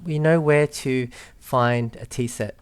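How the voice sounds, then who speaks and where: A man records casual commentary while playing a video game. He takes his time, with unhurried phrases, thinking aloud and reacting informally to what the story shows him. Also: mid-range voice, a little hiss or hum. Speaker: let's player.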